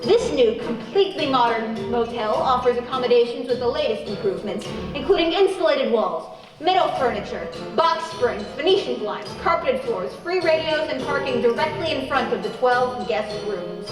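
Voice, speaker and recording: Woman doing a proper old-time radio announcer lady, high voice, music behind, formal. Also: animated, normal speed, natural voice. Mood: promotional. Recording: echoey room, music bed, distant mic.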